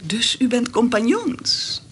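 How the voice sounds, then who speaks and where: Kokette, hooghartige gravin, levendige intonatie. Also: female voice, a little high, very animated, slow pace, a bit bright, heavily acted. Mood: coy, flirtatious. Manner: coy, genteel, snobbish. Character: flirtatious, haughty countess, tipsy and easily thrilled. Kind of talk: Dutch radio play acting. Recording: slightly noisy radio play recording.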